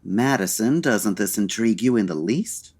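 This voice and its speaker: An actor playing a suave, unflappable gentleman detective in an old-time radio parody, speaking in a measured, formal, dryly amused way. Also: mid-range voice, animated, natural voice.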